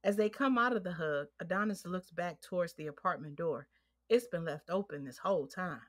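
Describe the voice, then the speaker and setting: A woman's voice: lowish voice, brisk, husky. A woman reading scripted narration for an audio drama, describing the action. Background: music.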